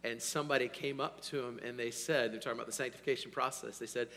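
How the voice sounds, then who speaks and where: A man preaching a sermon to his congregation, hoarse, energetic, swinging between loud bursts and quiet asides and checking in with "all right?". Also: mid-range voice, fast talker. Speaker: pastor. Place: church sanctuary. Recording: long hall reverb.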